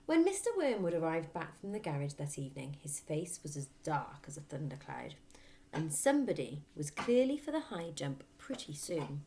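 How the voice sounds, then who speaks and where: Female teacher-storyteller: expressive children's narration, lowish pitch, highly varied intonation. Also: normal speed. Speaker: teacher reading aloud.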